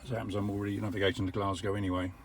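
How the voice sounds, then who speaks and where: An older English man explaining how an electric car works from the driver's seat, measured and a little wry. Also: fairly low pitch, flat delivery, background noise. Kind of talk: casual vlog commentary. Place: parked car.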